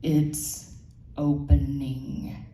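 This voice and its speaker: Lady doing a possessed woman for horror audio drama, creepy n slow, dragged out words, echoey room. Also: rumbling low, level tone, mellow, full character voice. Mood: ominous. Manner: drawn-out, ominous delivery. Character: possessed woman, unnaturally deep, ominous and slow. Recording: roomy, distant mic, faint noise.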